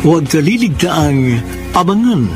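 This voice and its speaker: A man's voice: fairly high pitch, very animated, measured pace, music in the background. A man with a Filipino accent announcing radio drama credits in a scripted style.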